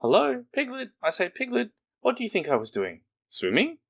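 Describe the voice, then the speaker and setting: A man voicing Roo, a tiny, excitable boy kangaroo who squeaks and boasts about his swimming. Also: moderate pace, gravelly, breathy edge, heavily acted. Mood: excited.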